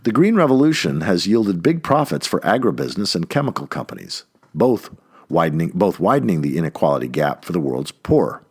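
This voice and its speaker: A man's voice: low-pitched, sing-song, a little fast, a little mellow, slightly rough. A man reading an essay aloud as scripted narration, with emphatic delivery. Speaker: audiobook-style reader of an essay.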